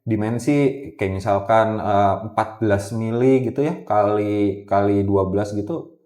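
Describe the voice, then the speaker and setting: A man's voice: on the low side, fairly even intonation, unhurried, a little mellow. A man chatting casually as a podcast guest, often repeating words and phrases for emphasis. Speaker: podcast guest, gemstone trader. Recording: roomy, echoey podcast recording.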